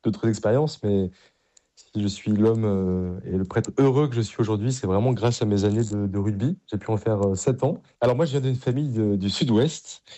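A man's voice: lowish voice, moderate pace, dark tone. A middle-aged man, a priest answering interview questions in an earnest, enthusiastic, conversational way. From Paris, France.

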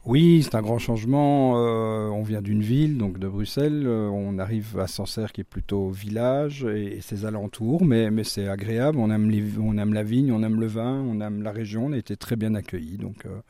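A man's voice: on the low side, normal speed, hardly any pauses, warm tone, no room sound. A middle-aged man with a Belgian French accent, speaking casually as a chef being interviewed. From Brussels, Belgium.

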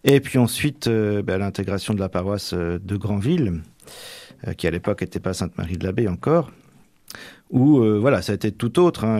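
A middle-aged man from Normandy giving reflective, halting interview answers, often tagging the ends of his phrases. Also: low voice, warm tone, dry recording. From Manche, Normandy, France. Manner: hesitant, halting, frequent tags at phrase ends.

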